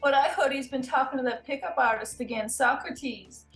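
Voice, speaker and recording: A woman's voice: high voice, normal speed, echoey room, faint music behind, close to an everyday voice, rough recording. A woman playing a gossiping, concerned parent in an amateur script table read. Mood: gossipy. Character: gossiping concerned parent, disappointed in Dave Kaufman.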